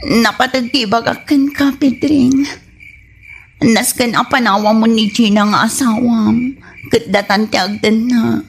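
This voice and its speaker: A woman with an Ilocano accent playing an insistent, aggrieved pregnant mistress in a dramatic, deliberate radio comedy drama style. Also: fairly high pitch, steady tone, vocal fry, slight background noise. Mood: hesitant.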